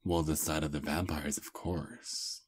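Ancient vampire prince, played by a man: courtly seducer, breathy husky voice, slow intimate ASMR delivery. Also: very deep voice, little pitch movement, exaggerated voice. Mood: quietly menacing. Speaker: ASMR roleplay performer. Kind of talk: intimate ASMR roleplay acting.

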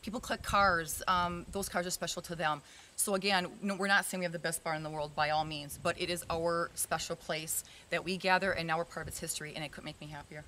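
A woman's voice: on the low side, steady tone, quick pace, sharp tone, a little hiss or hum. A woman in her fifties, a barn owner, talking earnestly in a casual interview outdoors, with many fillers.

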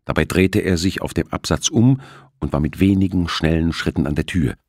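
A man's voice: low voice, a little fast, darkish tone, no room sound, clean studio sound. A man narrating a novel as a scripted audiobook, acting out the dialogue.

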